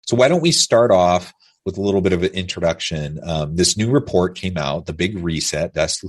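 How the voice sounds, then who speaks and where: A man hosting a podcast, reading a scripted intro and a guest bio, then asking interview questions in a measured, earnest way. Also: low voice, animated. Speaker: podcast host.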